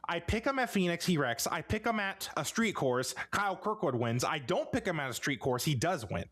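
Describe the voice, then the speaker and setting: A man co-hosting a podcast, talking in a relaxed, teasing way. His voice sits a little high and swings widely in pitch, full of playful jokes. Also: fairly quick. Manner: playful, joking, laughs often. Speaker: podcast co-host. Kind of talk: casual chat.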